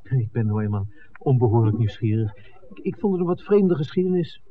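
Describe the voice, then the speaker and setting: An actor playing an inquisitive, persistent male filmmaker in a 1960s radio drama, asking questions in a halting but pressing way. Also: mid-range voice, animated, a little hiss or hum, lightly acted. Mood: curious. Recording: tinny old radio recording, background chatter.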